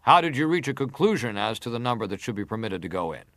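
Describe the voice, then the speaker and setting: A man's mid-pitched voice with very lively intonation, recorded dry. a probing, insistent senator at a dramatized hearing. He presses each question in formal, interrogating phrases. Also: quick pace, dry recording. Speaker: actor reading testimony. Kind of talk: dramatized reading of hearing testimony.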